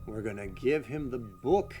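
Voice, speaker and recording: An eager young male adventurer, played by a man, speaks with wide, animated swings of pitch. He sounds resourceful and keen, in radio-play style with soft music behind him. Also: moderate pace, natural voice. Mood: resigned. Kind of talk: animated radio drama acting.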